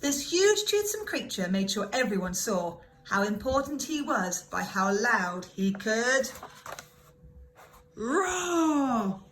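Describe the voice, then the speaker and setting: A woman reading a children's story aloud in an expressive, dramatic way, like a primary school teacher. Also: fairly high pitch, slow pace, a little hiss or hum. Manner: animated, dramatic emphasis.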